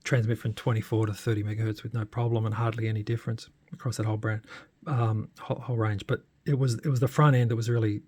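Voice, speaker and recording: A man's voice: lowish voice, flat delivery, fast talker, a little mellow. A man giving a casual, unscripted hands-on tech update as a hobbyist, thinking aloud and hesitating as he goes. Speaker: hobbyist presenter giving a radio modification demo.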